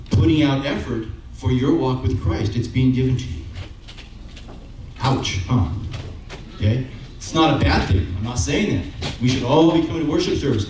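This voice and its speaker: A middle-aged man preaching a sermon in a church, talking in a conversational way and checking in with the listeners with "okay?" and "right?" as he walks the stage with a handheld mic. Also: a little high. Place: church sanctuary with brick wall. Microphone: handheld microphone, far camera. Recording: very echoey room, from far off, faint noise.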